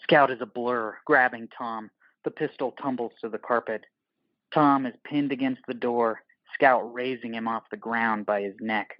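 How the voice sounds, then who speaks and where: A man's voice: mid-range voice, steady tone, moderate pace, no room sound, highs cut off. A man narrating an audio play from a script in terse, dramatic, clipped phrases.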